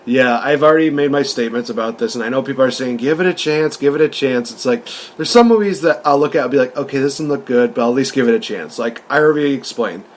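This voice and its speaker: A man's voice: medium pitch, quick pace, hardly any pauses, slight background noise. A man co-hosting a movie review show in a casual, conversational style.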